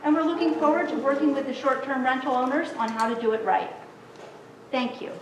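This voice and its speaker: A woman council member reading a prepared statement in a formal public meeting, firm and measured. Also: high-pitched, far from the mic, background noise. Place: city council chamber. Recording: echoey council chamber, off-mic.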